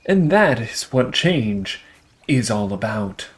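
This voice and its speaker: A man's voice: medium pitch, big pitch swings, takes it slowly, a little hiss or hum. A man voicing a smug, folksy festival speaker in a game, oratorical and sarcastic. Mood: smug, cheery.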